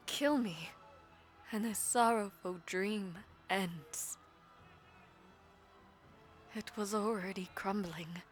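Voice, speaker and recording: A woman playing a proud, powerful mage villainess in an audio drama, speaking in a formal, imperious and deliberate manner. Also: medium pitch, a bit bright. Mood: defiant, vengeful. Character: proud powerful female mage, commanding, vengeful, self-sacrificing villainess. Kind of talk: dramatic audio roleplay acting.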